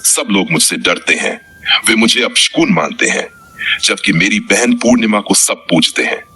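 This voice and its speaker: A man narrator voices a young spirit sister of the dark moon in a storybook tale, full of self-doubt and sadness. The voice is low, bright and forceful, with some rasp. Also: lively intonation, normal speed, exaggerated voice. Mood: sad, hurt.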